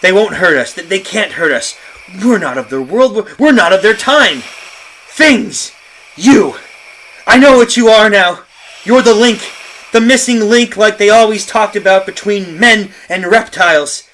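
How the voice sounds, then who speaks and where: An actor playing an anguished, guilt-ridden doomed man in a retro radio horror drama, speaking melodramatically and haltingly, repeating words in dread. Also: on the high side, animated, sharp tone, slight background noise, poor audio. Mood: defiant, frantic. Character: anguished, guilt-ridden doomed man recounting his cowardice, frantic and haunted.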